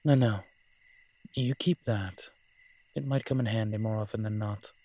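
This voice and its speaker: A man playing a suave, teasing demon professor in an audio roleplay, with a wry, conversational delivery and a husky voice. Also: fairly low pitch, steady tone, a little slow, dark tone, a little hiss or hum, close to an everyday voice. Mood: inviting, casual. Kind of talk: intimate audio roleplay acting.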